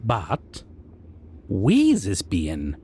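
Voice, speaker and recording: Slow, swooping goblin king. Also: fairly low pitch, wildly swooping pitch, mellow, slight background noise, heavily acted. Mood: wry. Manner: broken grammar, musing hums and chuckles. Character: proud male goblin king of the north, civilized, amused, menacing. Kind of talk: roleplay audio monologue.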